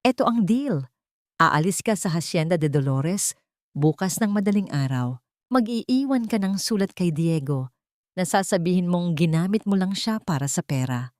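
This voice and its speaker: Woman voicing a cold, menacing rich matriarch, low-pitched. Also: lively intonation, moderate pace, darkish tone, no echo, studio quality, close to an everyday voice. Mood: menacing, commanding. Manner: threatening, commanding. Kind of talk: audio drama acting.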